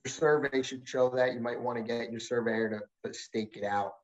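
A man advising a zoning board on a video call, explaining in a measured, hedging way with frequent restarts. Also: medium pitch, steady tone. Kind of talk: explaining at a public meeting. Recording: muffled video-call audio.

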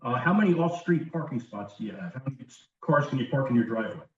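A man running formal proceedings at a zoning board meeting on a video call, brisk and procedural. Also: medium pitch, lively intonation. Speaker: board attorney. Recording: echoey distant muffled call audio.

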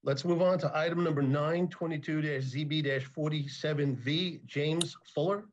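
A man's voice: fairly high pitch, moderate pace. A man chairing a zoning board meeting on a video call, businesslike and courteous. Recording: muffled video-call audio.

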